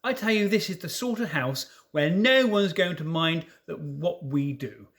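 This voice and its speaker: A man's voice: fairly high pitch, animated, unhurried, fairly bright tone. A man voicing a confident, enthusiastic schoolboy, the eldest brother, in a storybook reading. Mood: confident, pleased. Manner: enthusiastic, exclamatory.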